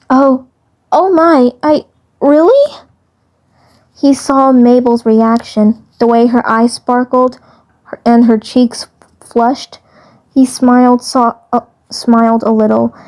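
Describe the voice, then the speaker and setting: A woman narrates a fan-made story in a slow, mellow, slightly high voice. She reads haltingly, often doubling back to repeat words and phrases. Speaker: amateur fan dub narrator. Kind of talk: story narration read aloud.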